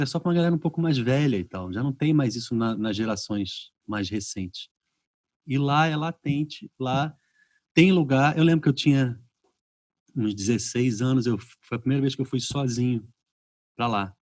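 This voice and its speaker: A man's voice: medium pitch, no room sound. A Brazilian man hosting a podcast over a video call, telling stories casually and acting out reactions. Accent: Brazilian Portuguese. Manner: animated storyteller, acts out reactions. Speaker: podcast host.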